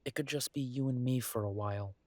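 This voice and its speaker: A man acting an affectionate, world-weary werewolf detective in an intimate audio drama, soft and coaxing with thoughtful pauses. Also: mid-range voice, dry recording, natural voice.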